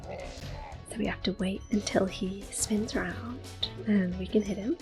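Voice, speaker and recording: A young woman giving calm, soft-spoken ASMR commentary on a game she is playing, drifting often into close whispers with gentle fillers. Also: mid-range voice, unhurried. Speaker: ASMR gaming host. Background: faint video game music.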